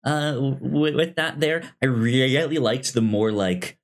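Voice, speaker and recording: A man co-hosting a podcast, casually discussing film in a halting, often stammering way. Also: medium pitch. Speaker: podcast co-host.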